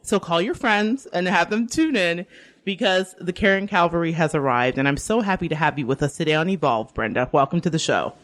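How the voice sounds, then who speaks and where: A woman's voice: fairly low pitch, lively intonation, a little fast. Woman radio host from the Bronx. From the Bronx, New York. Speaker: radio talk show host. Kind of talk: radio interview.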